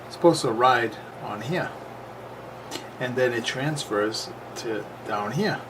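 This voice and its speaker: A man's voice: mid-range voice, slow pace. A man giving a casual, unscripted hands-on repair demonstration, plain-spoken and thinking aloud as he works. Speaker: repair video host. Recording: noisy camera recording with loud background noise.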